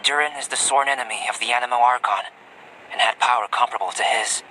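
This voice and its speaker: Man as a measured, scholarly young alchemist. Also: medium pitch, bright, forceful tone, slight background noise, close to an everyday voice, poor audio. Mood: explanatory. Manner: measured, precise, formal. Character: calm, scholarly young male alchemist, polite and detached. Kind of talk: video game cutscene dialogue. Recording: music behind the voice.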